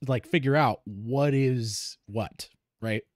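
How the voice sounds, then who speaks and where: Male host, medium pitch, dry sound, conversational, brief prompts to his guests. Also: slow pace, a little mellow, no room sound. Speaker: podcast host. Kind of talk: casual discussion, short prompts and responses.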